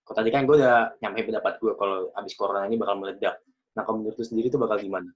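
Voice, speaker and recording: A man of about twenty, hosting a casual podcast chat, chatty and quick to laugh. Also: on the low side, steady tone, husky. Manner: chatty, laughs often, loose slangy talk. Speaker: podcast host. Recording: dull lo-fi recording.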